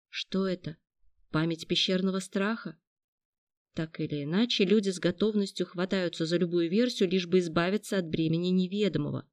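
An audiobook narrator reading a novel in a measured, even storytelling manner. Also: medium pitch, no room sound. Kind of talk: scripted narration.

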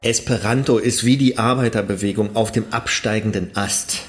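A man's voice: on the low side, steady tone, moderate pace, lightly acted. An actor playing an eager, pedantic man who coaxes his pupil through a made-up language in a radio play. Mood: coaxing. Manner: pedantic, coaxing, enthusiastic. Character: eager male language teacher, pedantic enthusiast.

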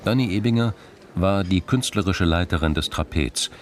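Low-pitched man narrating a crime story. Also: moderate pace. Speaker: narrator of a radio crime drama. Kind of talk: scripted literary narration.

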